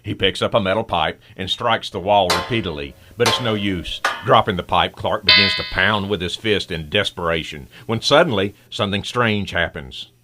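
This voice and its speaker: A man narrates an old-style radio serial in a very low, forceful voice. His delivery is dramatic and scripted, with some noise behind him. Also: moderate pace, few pauses.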